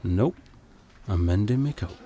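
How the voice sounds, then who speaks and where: Dry, laconic playwright, lively pitch. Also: male voice, unhurried. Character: Ewan, dry, self-assured male playwright and folk singer. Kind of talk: radio drama acting.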